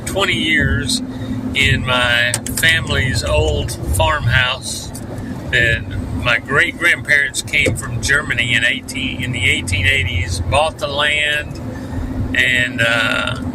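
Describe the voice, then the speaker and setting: A man's voice: fairly even intonation, slow. A man, hosting a casual, rambling podcast chat from inside a car. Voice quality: booming voice. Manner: rambling, self-correcting, joking. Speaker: podcast host. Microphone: handheld mic held by passenger. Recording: distant mic with loud road noise. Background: car and road noise.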